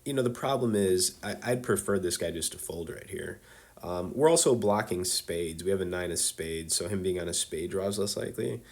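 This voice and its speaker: A man with a somewhat low voice and a cold hosts a poker review video. He chats casually, full of fillers and friendly asides to his viewers as he breaks down each hand. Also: moderate pace, a little mellow. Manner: loose and conversational, many fillers, chummy asides to viewers. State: has a cold.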